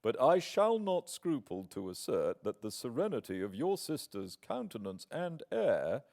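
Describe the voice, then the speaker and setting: A British man reading in the part of a proud, wounded young gentleman in a classic novel, formal, measured and emphatic. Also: medium pitch, pitched above the performer's own voice. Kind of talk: dramatic audiobook reading.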